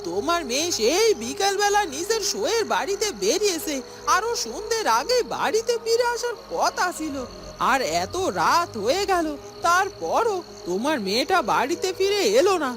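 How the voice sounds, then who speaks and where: A woman's voice: extremely high pitch, big pitch swings, moderate pace. A woman voicing the sly, scheming wife of a village headman in a cartoon, theatrical and wheedling. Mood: placating, wheedling. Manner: theatrical, wheedling, self-questioning. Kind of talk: dramatic cartoon voice acting. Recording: music behind the voice.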